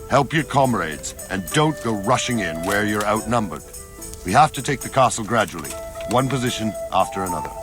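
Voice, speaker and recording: A man acting a fatherly old soldier in a medieval video game, low in pitch. He speaks bluntly and earnestly, like a seasoned commander briefing his men, with music clearly playing behind him. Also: close to an everyday voice. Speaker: voice actor in a game cutscene. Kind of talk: dramatic medieval game dialogue.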